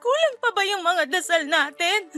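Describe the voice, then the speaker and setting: Tearful, very high-voiced anguished wife. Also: female voice, sing-song, normal speed, sharp tone, faint music behind, full character voice. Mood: bitter, tearful. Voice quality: tearful, sobbing voice. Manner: pleading, lamenting. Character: anguished wife longing for a baby, tearful, fearful. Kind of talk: Tagalog radio drama acting.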